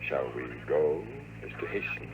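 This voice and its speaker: A man's voice: very deep voice, normal speed. An actor playing a cold, threatening male gunman with terse delivery in a 1950s radio drama. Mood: cold menace. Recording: dull, lightly noisy old radio recording.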